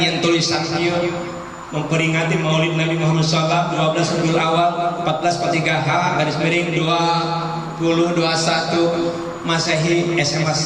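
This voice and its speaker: A man preaches in an emphatic, folksy manner with a Sundanese Indonesian accent, his voice a little high. It comes over distant and heavily echoing in a large hall, with a crowd audible behind him. He speaks as a preacher addressing a gathering, often posing questions to them. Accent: Sundanese-region Indonesian. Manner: rhetorical questions put to listeners, emphatic, folksy. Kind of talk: religious sermon to a gathering, mixing languages. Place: large echoing venue at a school event.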